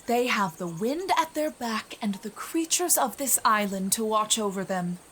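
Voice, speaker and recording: A woman playing a grave, wise guardian of a magical island in an audio drama, measured and probing. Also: mid-range voice, animated, a bit bright, a little hiss or hum, close to an everyday voice. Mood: solemn, challenging.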